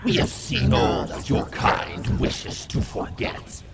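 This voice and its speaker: A man voicing a monstrous rat king in a fantasy audio roleplay, speaking grandly and contemptuously in a breathy rasp. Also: slow pace, heavy background noise, close to an everyday voice. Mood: contemptuous, grandiose. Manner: grandiose, menacing, archaic speech.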